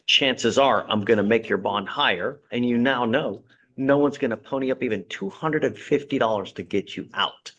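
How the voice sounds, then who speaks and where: A man's voice: medium pitch, moderate pace, fairly bright tone, lo-fi sound. A man serving as judge in a courtroom, lecturing a defendant from the bench with blunt sarcasm and folksy sayings.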